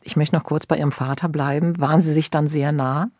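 A woman conducts an interview in a measured, prepared manner. Her voice is mellow and dark in tone, recorded dry and close, as she sums up and then asks. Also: sits low, steady tone, no echo.

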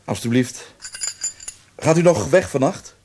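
A man's voice: on the high side, expressive, unhurried, a little mellow, a little hiss or hum. A man acting a polite, inquisitive hotel night clerk in a radio drama.

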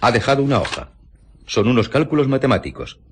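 An actor playing a slow, blustering, henpecked village husband in a radio drama, husky, hesitant and puzzled, with an accent from Spain. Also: male voice, medium pitch, very animated, slight background noise, natural voice. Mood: blustering, backing down. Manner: hesitant, puzzled, would-be bossy.

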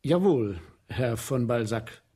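A submissive official in a radio play, slow and formal, bit husky, very yes-sir. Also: male voice, mid-range voice, expressive, warm tone. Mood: obedient. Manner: deferential, formal, measured. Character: dutiful, submissive male official, terse guest. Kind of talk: 1970s German radio play acting.

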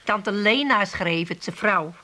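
A woman's voice: mid-range voice, slow pace, bright, forceful tone. A woman playing a dry, sarcastic, unimpressed housewife in a comedy radio play. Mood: matter-of-fact. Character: sharp-tongued, unimpressed Amsterdam housewife.

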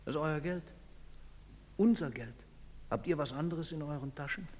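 A man's voice: on the high side, darkish tone, lightly acted. An actor playing a desperate, bitter father in a tragic drama, speaking with grave, repeated pleading. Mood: bitter contempt. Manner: slow, grave, repetitive pleading. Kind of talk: theatrical tragic drama acting. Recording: echoey hall, long reverb.

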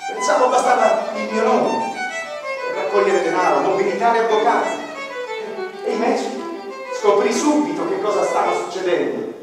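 An actor playing an embittered, idealistic ordinary man in a theatrical radio monologue, declamatory, with dramatic pauses and swings from hushed to loud. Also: high-pitched, lightly acted. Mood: proud, then indignant. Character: embittered, idealistic ordinary man, a small-town activist defending his land. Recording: very echoey hall, distant mic, faint music. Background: faint violin music.

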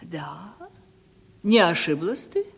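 A woman's voice: fairly low pitch, expressive, slow, creaky, breathy edge, full character voice. An actress playing a vain, coquettish ageing lady of a country estate in a Russian radio comedy, with a commanding, condescending theatrical manner. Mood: haughty, sceptical. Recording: reverberant studio radio play recording.

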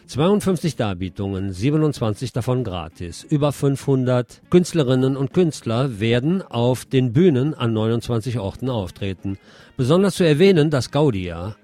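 A man's voice: mid-range voice, a little mellow. Male radio news presenter, wry scripted delivery.

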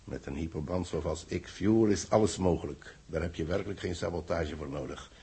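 An actor playing a grave, betrayed engineer who speaks with dramatic emphasis in a 1960s radio drama. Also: male voice, deep voice, normal speed, mellow, slightly rough, full character voice. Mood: emphatic, revealing. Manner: emphatic, dramatic, repeating words. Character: grave, betrayed male engineer, a society chairman.

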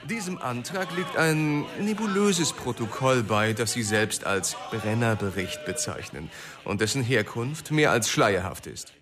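A man's voice: medium pitch, animated, measured pace, natural voice. An actor playing a pompous, scornful political spokesman, delivering formal, mocking oratory in a radio drama. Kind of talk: radio drama parliamentary speech.

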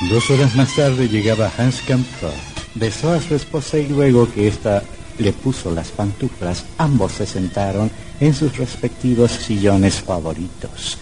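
An actor playing a grave storyteller, narrating a horror radio drama in a solemn, measured way. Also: male voice, mid-range voice, lively intonation, natural voice. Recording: old radio recording with music bed. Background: music.

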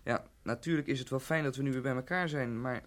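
A man playing a young student son in a radio play. His voice is mid-pitched and his delivery is eager and earnest, full of youthful longing. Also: fast talker, natural voice. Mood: cheerfully contradicting. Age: young adult. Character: young student son, keen, homesick for wide-open Africa. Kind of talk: radio drama acting.

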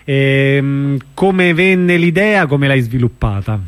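A man's voice: mid-range voice, animated, slow, smooth. A man, interviewing informally on radio and feeling his way into each question. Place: radio studio.

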